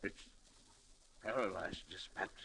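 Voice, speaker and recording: A man's voice: deep voice, a little slow, creaky, sharp tone, a little hiss or hum, heavily acted. An actor playing a frightened, earnest man in 1940s radio style, urgent and dramatic in his warnings. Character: frightened, earnest male colleague urging destruction of a monster. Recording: old-time radio recording.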